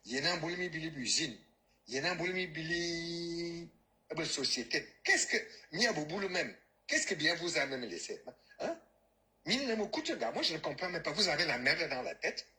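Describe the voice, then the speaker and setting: A man's voice: on the high side, sing-song, normal speed, bright, forceful tone. A Cameroonian man ranting about politics, mocking and scornful, and mixing in local-language phrases. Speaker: caller, diaspora activist. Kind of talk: heated political rant.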